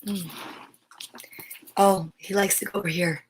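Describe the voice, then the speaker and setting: A woman hosting an online story time, speaking in a playful, expressive way as if chatting casually with viewers. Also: medium pitch, a little slow, a little hiss or hum, rough recording. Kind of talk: casual livestream chat with short read-aloud passages.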